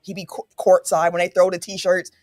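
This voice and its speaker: A woman from Milwaukee hosting a casual sports podcast, losing her voice after an illness, telling a story with animated energy and laughs. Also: lowish voice, moderate pace, no room sound. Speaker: podcast host.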